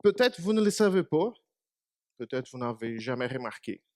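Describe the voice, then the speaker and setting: A man's voice: medium pitch, big pitch swings, normal speed, no room sound, clean studio sound. A man whose first language is Portuguese, giving a short church meditation in a small congregation and asking them questions. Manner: conversational, asks the congregation questions. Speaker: preacher.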